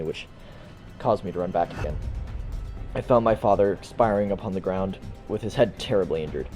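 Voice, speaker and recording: A man playing a guarded, earnest young son giving formal, halting testimony in an amateur audio drama. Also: on the low side, warm tone, close to an everyday voice.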